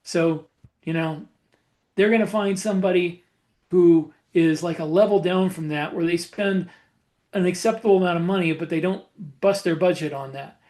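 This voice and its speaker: Casting: male podcast co-host with a high-set voice, informal explainer delivery, plain-spoken and opinionated. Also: normal speed, darkish tone. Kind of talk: casual explanatory podcast talk.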